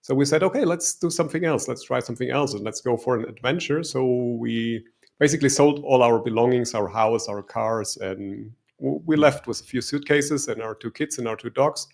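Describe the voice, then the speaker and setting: A middle-aged man with a German accent answers interview questions thoughtfully, pausing on "um"s and restarts. His voice is gravelly and mid-pitched. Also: darkish tone. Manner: reflective, hesitant, with restarts and "um"s. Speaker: guest, life coach.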